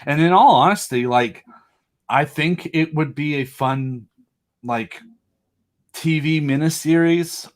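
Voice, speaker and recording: Rambling, jokey man in casual chat. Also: mid-range voice. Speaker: guest, creator of a giant monster audio drama. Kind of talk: casual enthusiastic interview chat.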